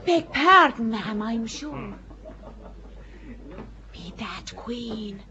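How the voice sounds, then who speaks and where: A woman's voice: fairly high pitch, lively intonation, very slow, pushed and bright, full character voice. An actress playing Joan, a young devout peasant girl who speaks bluntly and with fervour, in an audio drama. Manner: blunt rustic speech, fervent. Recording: dull audio with faint hiss.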